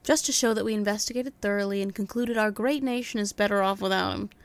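A woman's voice: mid-range voice, steady tone, fast talker, natural voice. A woman playing a wisecracking male detective's assistant in a noir audio drama, speaking wryly and teasingly. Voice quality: wry and teasing.

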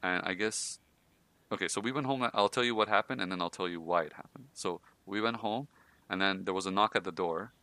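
A man's voice: low voice, a little fast, bright, forceful tone, no room sound. A man from Iran hosting a podcast, telling his own life stories in a casual, laughing way with many fillers. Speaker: podcast host.

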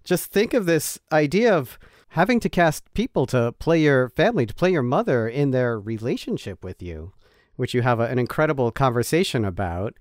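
Co-host guy, smooth clear voice, dry sound, eager and repetitive. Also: medium pitch, sing-song, moderate pace, dry recording. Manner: enthusiastic, repeating words for emphasis. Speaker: podcast co-host. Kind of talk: casual show intro chat.

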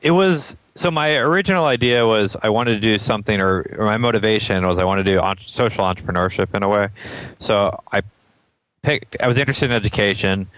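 A man with a very low, smooth, resonant voice talks as a guest in a casual interview. He is from Atlanta and thinks aloud with plenty of ums and easy chuckles. The sound is dry and close. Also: no echo, low-quality recording. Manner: relaxed, thinks aloud with many ums, laughs often. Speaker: interview guest, startup founder.

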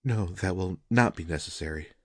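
A man's voice: deep voice, normal speed, husky, no room sound, clean studio sound. A man playing an earnest, troubled nobleman who yearns to make music, speaking hesitantly in an audio story. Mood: uneasy.

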